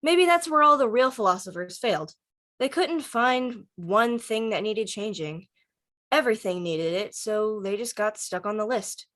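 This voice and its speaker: A woman's voice: mid-range voice, lively intonation, moderate pace, a bit bright, close to an everyday voice. A woman acts out a teenage dreamer in a film drama read-through. The delivery is dry and wry, drifting between teasing banter and earnest musing. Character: Dante, a dry, wry, star-loving teenager. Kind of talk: table read of a film script.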